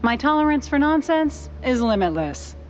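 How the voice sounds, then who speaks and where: An actress playing a sharp, skeptical, quick-witted reporter in a 1930s noir cartoon game, probing and sardonic. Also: female voice, sits high, very animated, dull audio, faint music behind. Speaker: journalist.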